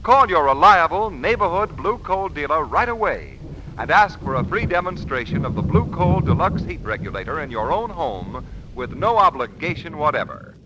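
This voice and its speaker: A man delivers an old-time radio advertisement in a fairly high, bright voice. His pitch moves with great animation and his phrasing is emphatic and polished, with faint noise on the recording. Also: big pitch swings, moderate pace. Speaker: radio commercial announcer. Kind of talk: 1940s radio ad read.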